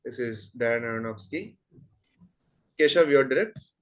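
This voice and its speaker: A man's voice: medium pitch, animated, normal speed. A man with an Indian English accent hosting an online quiz, reading questions steadily and chatting between answers. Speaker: quizmaster.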